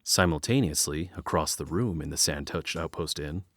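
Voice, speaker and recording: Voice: very deep voice, moderate pace, a bit bright, soft background music. A narrator reading scripted storytelling for a fantasy audio drama.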